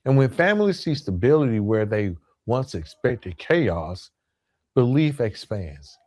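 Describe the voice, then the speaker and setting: A man's voice: medium pitch, very animated, measured pace, a little mellow. A man hosting a podcast, giving a semi-scripted motivational monologue in an earnest, emphatic way with repeated phrasing for effect. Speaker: podcast host.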